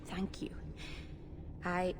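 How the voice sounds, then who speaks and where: A woman playing an earnest, stubborn young elf mage in a video game cutscene, speaking with open emotion. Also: very slow. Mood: subdued sorrow. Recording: noisy game ambience behind.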